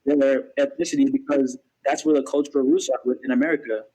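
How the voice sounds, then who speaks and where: A young man on a podcast, making his point in a quick, assertive, matter-of-fact way. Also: medium pitch, steady tone, mellow, low-quality recording. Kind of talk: casual discussion.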